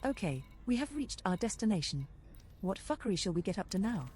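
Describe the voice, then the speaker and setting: A woman's voice: mid-range voice, sing-song, a little fast, darkish tone, lightly acted. A woman voicing an irreverent spaceship copilot, giving scripted game updates in a matter-of-fact, informative way. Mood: wry. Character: female ship computer copilot, relaxed and irreverent. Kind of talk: scripted game companion voice lines.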